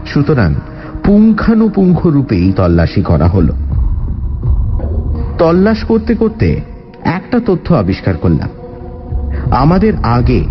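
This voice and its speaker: A man narrating a detective story as the sleuth's observant companion, in an expressive scripted style. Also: medium pitch, dull audio.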